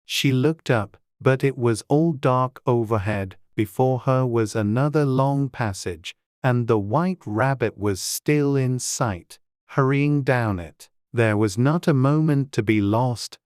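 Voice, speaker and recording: Slow, very smooth audiobook narrator. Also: medium pitch, darkish tone, no room sound, clean studio sound. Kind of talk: scripted storybook narration.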